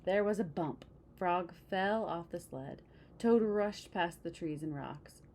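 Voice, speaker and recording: A woman reading a picture book aloud expressively, pausing to explain things and check in with young listeners. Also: on the low side, a little mellow, a little hiss or hum. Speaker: read-aloud storyteller.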